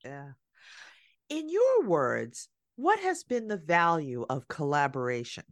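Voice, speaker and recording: A woman hosting an interview, asking measured, deliberate questions. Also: deep voice, wildly swooping pitch, no echo. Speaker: podcast host. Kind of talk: interviewing a guest.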